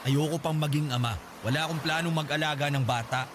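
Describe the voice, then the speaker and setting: An actor playing a dismissive, evasive young boyfriend in a radio drama. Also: male voice, a little high, fast talker, very noisy, close to an everyday voice. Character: young boyfriend refusing to take responsibility.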